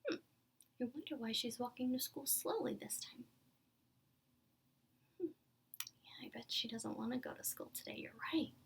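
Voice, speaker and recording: A woman teacher reading a storybook aloud to young children, expressive and deliberate, often breathy and near a whisper. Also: on the high side, slight background noise. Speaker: kindergarten teacher.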